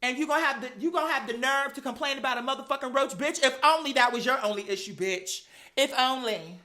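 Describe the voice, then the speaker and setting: A woman's voice: high voice, fast talker, pushed and bright. A woman chatting casually and telling gossipy stories in a sassy, profane, emphatic and dramatic manner. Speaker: online talk show host. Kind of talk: casual gossip commentary, storytelling.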